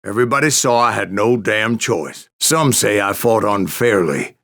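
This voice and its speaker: A man's voice: lowish voice, expressive, normal speed, a bit bright. An actor playing an old American bounty hunter with a Western drawl, narrating his exploits in a western video game with wry, boastful folksiness. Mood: defiant boasting. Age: older. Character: grizzled old bounty hunter gunslinger telling tall tales of the Wild West.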